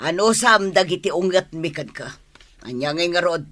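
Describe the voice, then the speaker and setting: A woman's voice: fairly low pitch, lively intonation, normal speed, fairly bright tone. A woman acting a stern, wounded middle-aged mother in a radio drama, scolding in exasperation. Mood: stern, exasperated.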